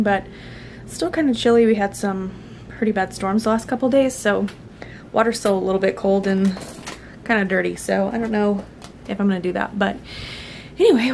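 Female vlogger, thirties, average pitch, offhand and self-deprecating bedroom chat on camera mic with light background hum. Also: darkish tone. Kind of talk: casual vlog chat to camera. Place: small bedroom, indoors. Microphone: camera at arm's length or set nearby. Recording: noisy camera audio with room hum.

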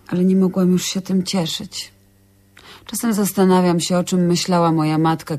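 A haunted woman in an intimate drama monologue. Her voice is fairly low and gravelly, and she confesses painful memories sadly. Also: a little mellow, heavily acted.

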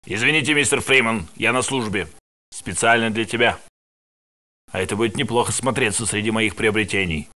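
A man's voice: on the low side, fairly quick, bright, forceful tone. An actor playing a cocky male security guard in a game dub, with wry lines.

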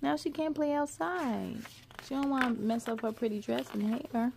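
A woman in her thirties hosting a children's story time, expressive and sing-song as she speaks to young viewers. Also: high voice, moderate pace, gravelly, a little hiss or hum. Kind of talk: children's read-aloud with asides to young viewers. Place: living room at home.

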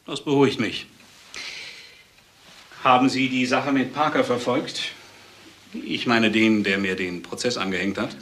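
An actor playing a cold, domineering doctor, curt and menacing, in a German crime radio drama. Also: male voice, mid-range voice, fairly even intonation, measured pace, pauses often, a bit bright, close to an everyday voice. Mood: guarded irritation. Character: cold, domineering male doctor, threatening husband.

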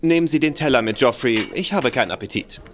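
A man's voice: mid-range voice, lively intonation, fast, a little hiss or hum, natural voice. An actor playing a bitter, tipsy young nobleman who rambles spitefully in a radio play. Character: Sebastian Conroy, young nobleman, bitter, drinking, boastful.

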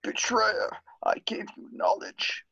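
A man voicing an ancient, sinister alien master in a raspy, creaky whisper, in a fan table read. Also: slow pace, sharp tone. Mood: pained, betrayed. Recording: dull, lo-fi video call.

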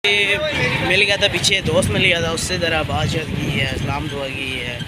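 A man's voice: fairly high pitch, little pitch movement, moderate pace. A young man from Pakistan casually narrating a walking vlog outdoors, pointing things out as he goes and talking into a handheld phone. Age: young adult. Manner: chatty, pointing things out as he walks. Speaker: vlogger. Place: outdoors on streets and a market. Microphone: phone camera held in hand. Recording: loud outdoor street and traffic noise.

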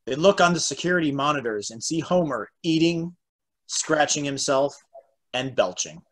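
A man with a husky voice reading stage directions as narrator of an amateur table read. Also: fairly high pitch, animated, normal speed. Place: home room, on a video call.

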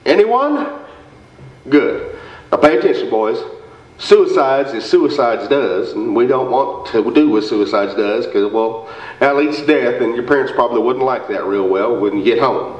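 A man's voice: medium pitch, expressive, a little slow, lightly acted. A man on stage playing a folksy, muddled, blustering small-town basketball coach in a radio comedy, giving rambling pep talks. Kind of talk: staged old-time radio comedy acting. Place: indoor theater stage before an audience. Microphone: stand mic, heard through far camera. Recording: echoey stage hall, distant muffled camera audio.

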